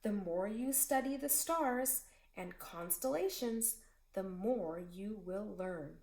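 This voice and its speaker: A woman reads a children's book aloud to her class. Her delivery is slow and deliberate, and her pitch swings widely as she tells the story. The recording picks up a noticeably echoey room. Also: animated, a little mellow. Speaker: teacher reading aloud.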